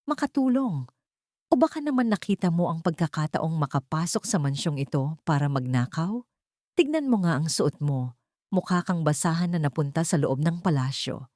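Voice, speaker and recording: A woman's voice: sits low, expressive, normal speed, a little mellow, no echo. Haughty rich Filipina sister for an audiobook drama, played by a female narrator, contemptuous and cruel, dry sound. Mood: scornful. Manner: mocking, contemptuous.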